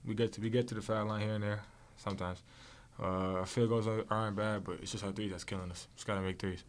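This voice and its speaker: A young male basketball player talks quickly and casually to reporters after a game, repeating his points. His voice sits fairly low and stays flat in tone. Faint room hum sits behind his desk mic. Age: young adult. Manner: casual, rapid, repetitive phrasing. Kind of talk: postgame press conference answers. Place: press room with logo backdrop. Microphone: desk mic on stand.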